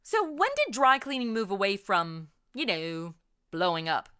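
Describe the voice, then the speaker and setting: Bright, animated, playful woman host. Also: medium pitch, unhurried, clean tone. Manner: playful, joking, quippy. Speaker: podcast host. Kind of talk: comedic semi-scripted interview banter.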